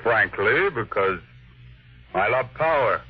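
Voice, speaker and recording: An actor playing a ruthless, ambitious millionaire in a brusque, commanding manner, in the style of 1950s radio drama. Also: male voice, on the low side, expressive, slow pace, breathy edge, full character voice. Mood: proud, assured. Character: ruthless, ambitious male millionaire tycoon, curt and imperious. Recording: dull vintage radio recording.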